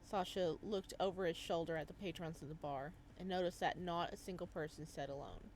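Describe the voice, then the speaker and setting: A woman hosting a video game playthrough, reading the story aloud as expressive narration and reacting as she goes. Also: fairly low pitch, fairly quick, slight background noise, poor audio. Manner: expressive, slips into character voices. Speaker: gaming video host reading a visual novel aloud.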